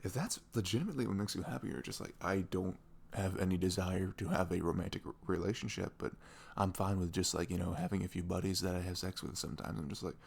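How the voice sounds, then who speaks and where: A man acts out a sweet young boyfriend in an intimate audio roleplay. His voice sits on the low side and crackles with heavy fry. He rambles in casual slang, animated and caring, slipping into little acted-out quotes. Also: fast talker, exaggerated voice. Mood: easygoing. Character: sweet, caring, chatty boyfriend on a morning after.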